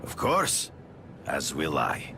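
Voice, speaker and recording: An actor playing an older, proud, honor-bound hunter and mentor in a video game, gravelly, with formal, measured, grandiose phrasing. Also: male voice, wildly swooping pitch, over music, full character voice. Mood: persuasive, grave. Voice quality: very deep, gravelly. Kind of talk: video game cutscene acting.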